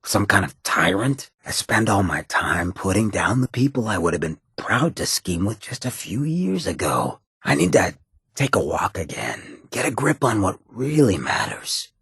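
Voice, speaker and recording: An actor playing a swaggering, irreverent outlaw drifter in a video game, with a raspy, gravelly voice and a slangy, sardonic drawl. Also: male voice, fairly low pitch, sing-song, moderate pace, fairly bright tone, no room sound, studio quality, exaggerated voice. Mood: weary, resolute. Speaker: video game companion character. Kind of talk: scripted game dialogue, wry banter.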